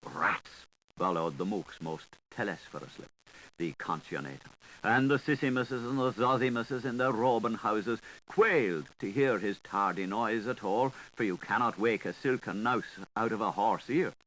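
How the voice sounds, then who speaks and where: A man's voice: on the low side, expressive, normal speed, a bit bright, rough recording. A man reading literary prose aloud as an audiobook narrator, in a theatrical storytelling style. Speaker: audiobook reader.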